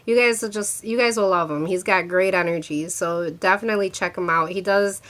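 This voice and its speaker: A woman's voice: medium pitch, steady tone, fairly quick, a bit bright. A woman with a congested voice from a cold, chatting casually to her viewers about a handmade crystal ring, with lots of restarts and filler words. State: congested from a cold, sore throat. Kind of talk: casual talk to camera showing a handmade ring.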